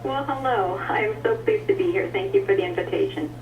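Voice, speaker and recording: A woman's voice: high voice, sing-song, brisk, vocal fry, slight background noise. Hesitant woman guest on a phone line. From Czech Republic. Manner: hesitant, with many fillers and restarts. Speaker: phone-in guest, horse advocate. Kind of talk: radio interview answers. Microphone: telephone. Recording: muffled phone line, roomy and distant.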